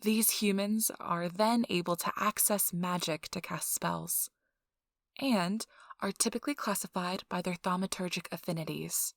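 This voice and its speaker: A woman playing a friendly, chatty intake interviewer in a soft ASMR role-play, explaining things politely and informally. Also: fairly low pitch, measured pace, vocal fry, no room sound, clean studio sound. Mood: calm, businesslike. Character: friendly, chatty female intake interviewer at a vampire blood donation center.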